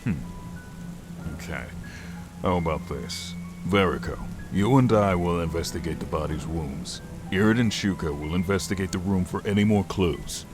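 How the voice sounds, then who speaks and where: Man voicing dragonborn paladin, deep, stern, commanding, easily exasperated, fantasy audio drama, music behind. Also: natural voice. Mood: stern, pondering. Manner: commanding, deliberate.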